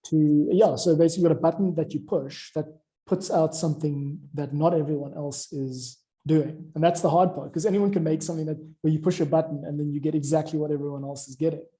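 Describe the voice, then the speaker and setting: A man's voice: a little high, fairly even intonation, brisk, warm tone. A man talking informally about audio production, explaining things in a rambling way as he thinks aloud. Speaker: audio drama music creator.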